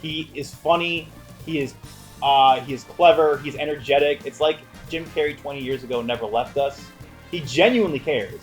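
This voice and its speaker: A man's voice: fairly high pitch, normal speed, soft background music. Male co-host for a casual review show, conversational, playful and emphatic. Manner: emphatic, playful, swears freely. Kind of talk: casual film review chat.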